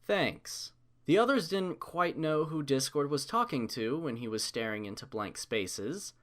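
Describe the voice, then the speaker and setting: Lively male narrator, very varied pitch. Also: unhurried. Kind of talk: animated fan fiction story narration.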